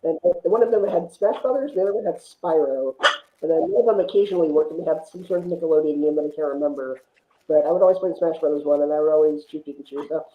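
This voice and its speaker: A man's voice: on the high side, fast, roomy sound, phone-quality sound. A man chatting casually about films as a podcast guest, explaining at length with frequent asides. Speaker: guest film reviewer on a podcast. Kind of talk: casual film discussion.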